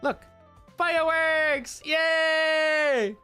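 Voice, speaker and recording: Loud nasal young man, bright-toned. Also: extremely high pitch, very slow, faint music behind. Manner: loud, excitable, slangy. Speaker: streamer. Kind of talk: casual stream chat.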